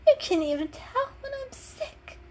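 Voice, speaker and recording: A woman's voice: very high voice, sing-song, measured pace, voice pushed up, full character voice, rough recording. A woman voicing a sick, grumpy female kitten in a storybook reading, congested and whiny. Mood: miserable, whiny.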